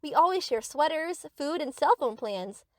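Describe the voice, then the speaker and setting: A woman's voice: high voice, lively intonation, normal speed, no room sound, clean studio sound. A woman playing a sweet, eager, obsessive young yandere best friend in an anime-style audio roleplay, gushing and theatrical.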